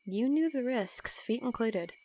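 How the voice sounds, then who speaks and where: A woman's voice: on the high side, lively intonation, measured pace, a little mellow, a little hiss or hum. A woman playing a sarcastic, bossy young assassin roommate with dry, teasing delivery in an audio roleplay. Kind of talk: casual audio roleplay acting.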